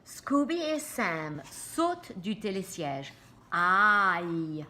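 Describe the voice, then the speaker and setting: A woman reads a picture book to children at an unhurried pace. Her pitch is lively and varied, and she often steps out of the story to explain and check in. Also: slight background noise. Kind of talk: animated read-aloud with asides to children.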